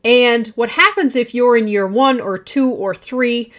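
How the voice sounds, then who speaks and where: A woman's voice: a little high, unhurried, a bit bright. A woman in her forties hosting a solo podcast, talking in a confident, projecting and emphatic way. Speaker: podcast host.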